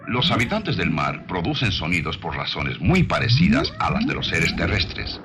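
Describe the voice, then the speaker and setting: A man reading scripted documentary narration with measured, dramatic storytelling delivery, on an old film soundtrack. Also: sits low, wildly swooping pitch, background noise.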